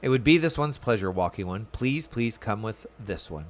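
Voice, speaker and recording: A man's voice: lowish voice, animated, normal speed, pitched below the performer's own voice, natural voice. A man voicing a small, eager winged messenger creature from a fantasy game, reading its polite, deferential lines aloud. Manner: polite, speaks of itself in third person.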